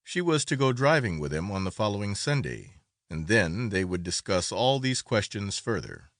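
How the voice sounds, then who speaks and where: A man's voice: on the low side, very animated, moderate pace, no room sound, studio quality. A man narrating a classic literary story as a dramatic, scripted audiobook reading.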